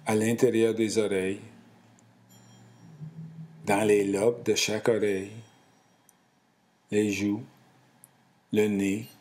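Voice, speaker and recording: A man leading a guided relaxation in a calm, soothing and deliberate way, in short phrases. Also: fairly low pitch. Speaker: guided meditation instructor.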